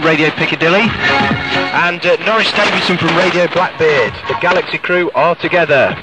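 Chatty British radio man over loud music. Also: on the high side, low-quality recording. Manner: chatty, informal. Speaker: pirate radio presenter. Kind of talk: live, ad-libbed radio presenting between records. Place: outdoors in the countryside.

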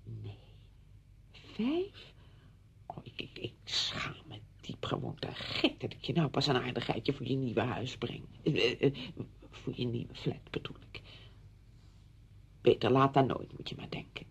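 A woman's voice: rumbling low, very animated, unhurried, creaky, slightly rough, exaggerated voice. An actress playing a chatty, apologetic married woman visiting a friend in a radio drama, effusive, rambling and flustered. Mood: apologetic, gushing.

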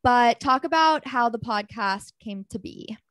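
A woman's voice: a little high, measured pace, a bit bright, no echo. A young woman hosting a podcast in casual chat, upbeat and asking questions. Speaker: podcast host.

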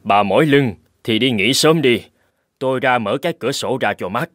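An actor playing an anxious, kindly middle-aged homeowner in a cartoon ghost story, given to dramatic talking to himself. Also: male voice, medium pitch, normal speed, no echo, natural voice. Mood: polite, calm. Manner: expressive, dramatic, muttering to himself. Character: anxious, kindly older male homeowner, newly moved in.

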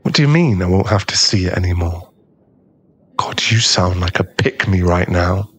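A man playing a bitter, heartbroken young school bully in an audio roleplay, scornful and aggrieved, with a gruff protective streak. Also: sits low, animated, moderate pace, fairly bright tone, full character voice. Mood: bitter, angry. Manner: aggrieved, swearing, scornful, dropping into breathy muttering. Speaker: ASMR roleplay voice actor. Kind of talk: ASMR boyfriend audio roleplay.

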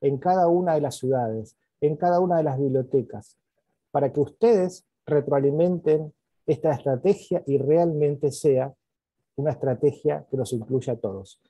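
Dark, mellow male voice on a dry call mic, repeats his points emphatically. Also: a little high, normal speed, husky, no room sound. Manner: repetitive, emphatic lists. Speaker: regional council president. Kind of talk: remarks in online session. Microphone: video call.

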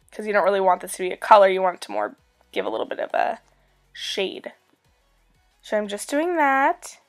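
A young woman in her twenties with a raspy voice from a cold, chatting upbeat through a makeup tutorial as a beauty vlogger. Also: medium pitch.

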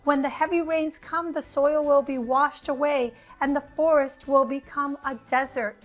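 Woman voicing high, chattering urgent monkeys. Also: fairly even intonation, normal speed, close to an everyday voice. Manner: chattering, insistent.

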